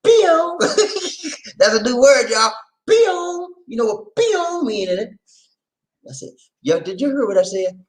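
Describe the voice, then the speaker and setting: A man from Charleston, South Carolina with a Geechee accent, hosting a casual livestream chat, lively and preachy, often laughing and talking to viewers. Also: very high voice, takes it slowly, pauses often, rough recording. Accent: Gullah Geechee, South Carolina Lowcountry. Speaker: livestream host.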